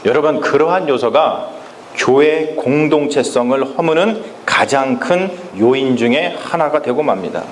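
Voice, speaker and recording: A male pastor preaching a Sunday sermon in a church, speaking emphatically and often turning to address the congregation directly, slowing down to stress key phrases. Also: mid-range voice, slight background noise. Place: church sanctuary. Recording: echoey church with faint ambience.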